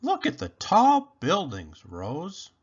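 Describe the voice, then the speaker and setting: A man's voice: high voice, huge pitch swings, slow pace, breathy edge, muffled recording, pitched above the performer's own voice, heavily acted. A man voicing an imaginative, anxious little girl from a storybook, light and childlike in manner. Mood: playful wonder.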